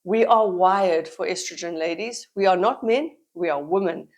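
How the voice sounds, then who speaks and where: A middle-aged woman explains something to the camera, her voice a little croaky from a head cold. She leans on key words and repeats them for emphasis, in a warm, steady teaching manner. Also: lowish voice, normal speed. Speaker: women's health educator.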